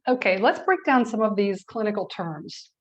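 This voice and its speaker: A woman's voice: medium pitch, lively intonation, normal speed. A woman hosting an interview who asks questions in an engaged, friendly way. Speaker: interview host.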